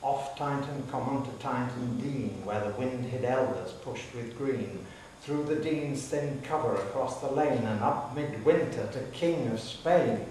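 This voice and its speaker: A man's voice: medium pitch, a little slow, darkish tone. A man giving a dramatic recital of narrative poetry in a hall. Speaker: poetry reader. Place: public hall. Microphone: distant microphone. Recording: very echoey hall, distant mic, faint hiss.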